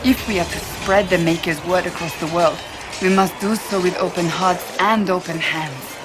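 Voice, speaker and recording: Fantasy game warrior woman, actress performing: stern, devout, grave formal delivery, clear music bed. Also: lowish voice, moderate pace, close to an everyday voice. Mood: earnest conviction. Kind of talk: dramatic video game dialogue.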